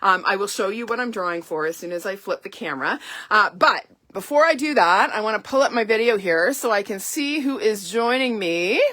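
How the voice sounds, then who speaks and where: A middle-aged woman from Canada hosting a casual live stream, chatty and upbeat, her voice a little hoarse and stuffy from illness. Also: medium pitch, lively intonation, fairly quick, bright, forceful tone. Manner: chatty, upbeat, frequent laughs and ums. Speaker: independent stamping demonstrator hosting a live launch.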